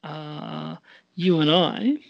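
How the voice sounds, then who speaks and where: A man chatting casually and hesitantly as an amateur podcast performer, often pausing as he thinks aloud. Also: fairly high pitch, creaky, no echo, muffled recording. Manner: hesitant, halting. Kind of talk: casual chat.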